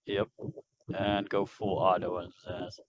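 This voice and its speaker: A man's voice: low-pitched, little pitch movement, measured pace, noisy background. A man speaking in short, casual phrases as a player in a tabletop roleplaying game. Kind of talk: casual terse game talk.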